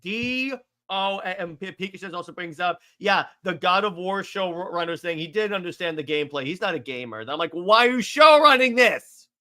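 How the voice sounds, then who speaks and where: A man's voice: high voice, animated, a little fast, bright, forceful tone. A man co-hosting a casual pop culture discussion, talking in an emphatic, opinionated way and often repeating himself for stress. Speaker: podcast co-host.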